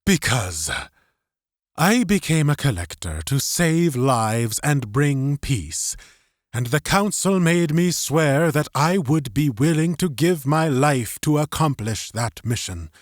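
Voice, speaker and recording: A man voicing an earnest, determined idealist in an audiobook, speaking haltingly and with conviction. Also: fairly high pitch, big pitch swings, no room sound, studio quality, pitched above the performer's own voice. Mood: solemn, determined. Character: earnest, resolute young idealist defying his superiors. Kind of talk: audiobook character acting.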